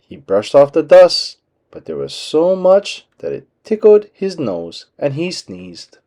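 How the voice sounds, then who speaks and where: A man reading a children's book aloud as an expressive storyteller. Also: a little high, takes it slowly, vocal fry.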